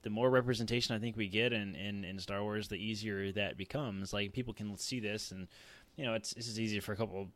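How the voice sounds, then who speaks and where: A man's voice: fairly low pitch, fairly even intonation, fairly quick, fairly bright tone. A man talking informally as a podcast panelist, thinking aloud in casual conversation. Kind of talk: casual chat.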